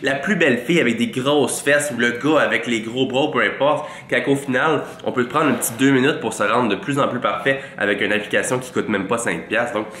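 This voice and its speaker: A man's voice: mid-range voice, brisk, hardly any pauses, a bit bright, echoey room, slight background noise. A man in his twenties with a Québécois French accent, congested from a cold, giving a playful, mock-boastful tutorial to camera in a small room on a large studio mic. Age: 20s. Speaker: fitness vlogger. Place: small room with a green-lit wall. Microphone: large studio mic in front.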